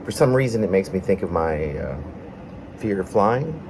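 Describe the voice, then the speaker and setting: A man from Arkansas, a poet presenting and reading his own autobiographical work in an unhurried, personal way. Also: low-pitched, big pitch swings, noisy background. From Arkansas, United States. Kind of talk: casual introduction, then reading aloud autobiographical prose poem.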